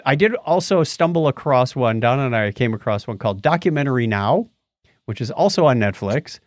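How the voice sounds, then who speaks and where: A man's voice: mid-range voice, lively intonation, fairly quick, dry recording, clean studio sound. A middle-aged or older man co-hosting a podcast, casually reviewing things in a rambling way and groping for names. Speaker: podcast co-host. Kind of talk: casual movie and book review chat.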